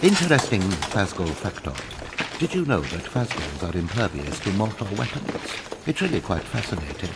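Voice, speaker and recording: An actor playing a theatrical, gloating villain sorcerer in a video game, with a pompous, sneering and mocking delivery. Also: male voice, deep voice, sing-song, normal speed, big-room sound, very noisy. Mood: smug, mocking. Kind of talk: video game cutscene acting.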